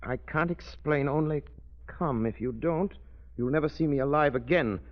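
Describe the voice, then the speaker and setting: An actor playing a composed, knowing gentleman detective, measured and grave, in a 1930s radio drama. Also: fairly high pitch, close to an everyday voice. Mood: measured, reading. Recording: dull, hissy 1930s radio recording.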